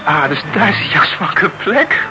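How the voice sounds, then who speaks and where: A cheeky, chatty man in a radio play, with a slightly high voice. He talks breezily and teases, with loud music behind him. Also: big pitch swings, a little slow. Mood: teasing. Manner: breezy, needling, colloquial. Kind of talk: naturalistic Dutch radio drama acting.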